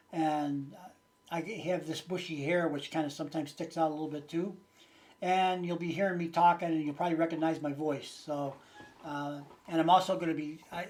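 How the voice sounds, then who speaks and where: A man's voice: on the high side, darkish tone. Rambling, folksy man in his late sixties. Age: late 60s. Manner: rambling, folksy, hesitant phrasing. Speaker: video channel host. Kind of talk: casual unscripted chat to viewers.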